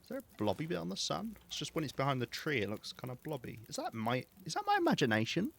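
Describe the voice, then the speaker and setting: A man with a southern English accent, chatting casually and self-mockingly while streaming a game, with a calm, soothing voice. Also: fairly high pitch, fast talker, creaky. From southeast England. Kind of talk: casual gameplay chat.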